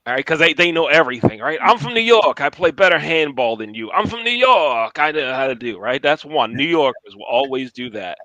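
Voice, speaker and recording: A man with a medium-pitched voice in a dry recording with little room sound. He hosts a conversational interview, driving points home through repetition and frequent check-ins with the listener. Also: expressive, a little fast, few pauses, pushed and bright, no echo, dull audio. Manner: emphatic repetition, checks in with "right?". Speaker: interview host.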